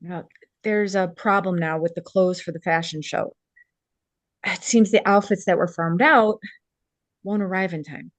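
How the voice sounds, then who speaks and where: A woman's voice: fairly low pitch, measured pace, long pauses, no echo, close to an everyday voice. A woman actor playing an earnest, determined young fashion designer, naturalistic and conversational with some hesitation, in a table read. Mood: worried.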